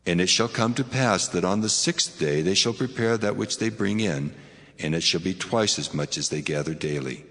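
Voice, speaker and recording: An actor playing God as a solemn, commanding figure in a dramatized scripture reading, with measured delivery. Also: male voice, sits low, fairly bright tone. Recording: long cavernous reverb.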